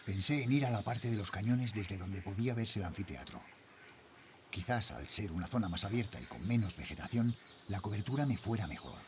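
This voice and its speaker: Casting: anxious father working night security, Spanish accent from Spain, low register, highly expressive intonation, horror audio fiction. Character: worried father, a night security guard narrating a horror tale. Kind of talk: scripted first-person horror audio drama narration.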